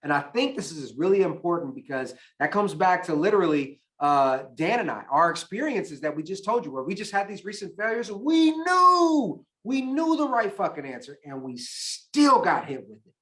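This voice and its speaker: A male podcast co-host speaks with a fairly high voice. He talks loosely and with energy, cussing freely and poking fun at himself, in a casual chat. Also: normal speed, hardly any pauses. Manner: animated, swearing, self-mocking.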